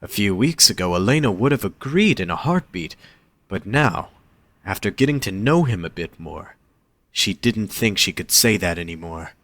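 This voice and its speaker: A man narrates a scripted story for an audio drama. His voice is fairly low, and the sound is dry, with no room around it. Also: animated, moderate pace, fairly bright tone, dry recording.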